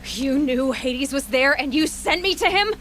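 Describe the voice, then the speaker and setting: A woman's voice: sits high, lively intonation, measured pace, fairly bright tone, soft background music. An actress playing a headstrong, distrustful young female hunter in a video game, with a wry, blunt way of talking. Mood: angry. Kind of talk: video game cutscene acting.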